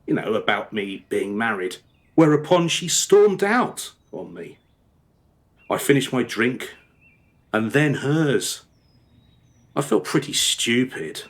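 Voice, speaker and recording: A male actor plays a guilt-ridden married father confessing to his daughter in a radio drama. His mid-pitched voice swings up and down expressively as he rambles through every detail, hesitating as he remembers. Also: very animated, takes it slowly, long pauses. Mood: sheepish, confiding. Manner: rambling, over-descriptive, hesitant reminiscing. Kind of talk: radio play dialogue, storytelling monologue.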